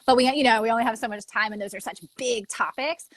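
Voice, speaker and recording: A woman hosting an interview over a video call, affirming her guest in a soft-spoken way. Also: on the high side, steady tone, fast talker, dry recording. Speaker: host. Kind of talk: casual interview chat.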